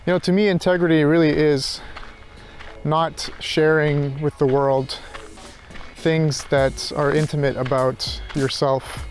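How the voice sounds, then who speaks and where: Male walk-and-talk vlogger, slightly raised pitch, reflective and halting, casual delivery, light music underneath. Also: little pitch movement. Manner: reflective, halting, thinking aloud. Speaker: philosophy tutor vlogger. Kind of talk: casual rambling monologue while walking. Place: outdoors, walking.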